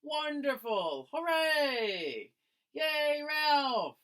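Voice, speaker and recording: Man doing an eager, high-pitched storybook schoolkid. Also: very animated, extremely slow, bright, forceful tone, full character voice. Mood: excited cheering. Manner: eager, wide-eyed questioning and exclaiming. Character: excited, curious young classmates in a storybook.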